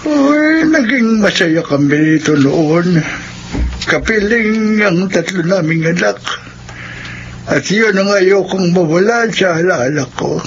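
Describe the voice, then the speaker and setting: An actor playing a kindly old widowed gravedigger in a Filipino radio drama, gentle, halting and chatty. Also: male voice, high voice, expressive, full character voice. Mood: gentle, reminiscing. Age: older. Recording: dull radio recording, faint background noise.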